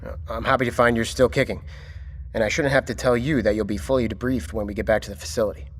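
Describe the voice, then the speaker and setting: Actor as a stern government chief in a reverberant space. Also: male voice, medium pitch, steady tone, fast, natural voice. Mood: brisk, guarded. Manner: commanding, clipped, condescending. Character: stern, authoritarian male government chief interrogating subordinates. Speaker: voice actor in an audio drama. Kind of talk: audio drama acting. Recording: reverberant hall with background noise.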